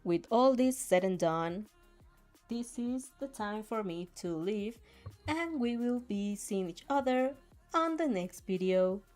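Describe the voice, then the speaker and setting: A woman's voice: mid-range voice, lively intonation, slow pace, a little mellow, soft background music. Giggly, rambling young woman reading fanfic. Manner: giggly, rambling, easily flustered. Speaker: fanfic reader and streamer. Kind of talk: reaction reading and casual chat.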